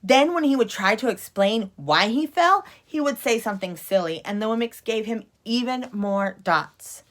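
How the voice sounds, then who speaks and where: A woman's voice: fairly high pitch, expressive, moderate pace, a bit bright. A woman reading a children's storybook aloud expressively, like a teacher at story time.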